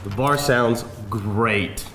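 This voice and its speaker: An actor playing a courteous, chatty job interviewer eager to charm, in a live screenplay table read, insistent and rather formally polite. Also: male voice, lowish voice, extremely slow, a bit bright. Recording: echoey live room, faint noise.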